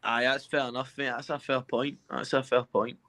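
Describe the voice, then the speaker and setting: A man's voice: mid-range voice, flat delivery, normal speed, pushed and bright, slight background noise. A man with a Scottish accent bantering casually, teasing and swearing as he goes. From Scotland.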